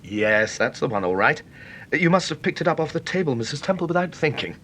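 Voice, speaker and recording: An actor playing a polite, hesitant, apologetic widower in a British radio mystery, with a British accent, on an old radio recording. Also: male voice, very animated, fairly quick, fairly bright tone. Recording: noisy old radio recording, low rumble.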